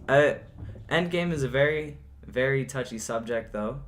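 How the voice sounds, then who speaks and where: A teenage guy co-hosting a podcast from his home. His voice is light rather than deep, and he rambles with plenty of "like" and "um." A faint hiss sits under the recording. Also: normal speed. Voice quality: not deep. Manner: rambling, filler-heavy, laughs often. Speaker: podcast co-host. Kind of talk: casual chat.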